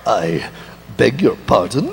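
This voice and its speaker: A man voicing a pompous superhero with a deep voice in a live comic script reading. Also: sing-song, slow, gravelly, husky, heavily acted. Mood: stately puzzlement. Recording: echoey hall, off mic.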